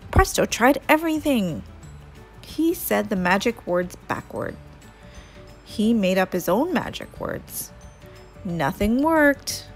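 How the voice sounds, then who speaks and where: Lady reading a kids story out loud, slow, bit of creak in the voice, music behind. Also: medium pitch, big pitch swings. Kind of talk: expressive children's read-aloud narration.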